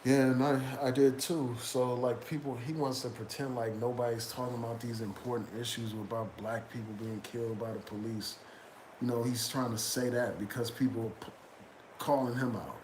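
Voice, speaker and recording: A man's voice: medium pitch, fairly even intonation, normal speed, darkish tone. A man with a cold, sniffling as he comments loosely to his viewers, with lots of "um" and "man.". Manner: loose, conversational, fillers like "man" and "um". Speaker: YouTube commentator reading chat. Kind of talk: casual live-stream commentary. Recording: echoey room with background noise.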